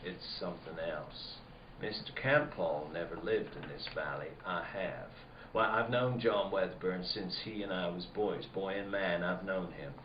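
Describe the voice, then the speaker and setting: Actor as a deep-voiced folksy country sheriff, roomy sound. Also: male voice. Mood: earnest. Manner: folksy rustic dialect, deliberate and roundabout. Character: slow-talking rural sheriff, folksy, probing and insinuating. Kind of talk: radio play acting. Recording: dull, roomy, faint background noise.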